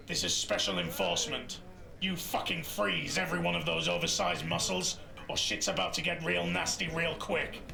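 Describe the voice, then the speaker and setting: Man playing a very gravelly, hard police marshal. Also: fairly high pitch, expressive, moderate pace, crowd noise. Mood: commanding. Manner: barking, authoritative, impatient. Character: hard, commanding, foul-mouthed male police marshal. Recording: roomy echo, music behind.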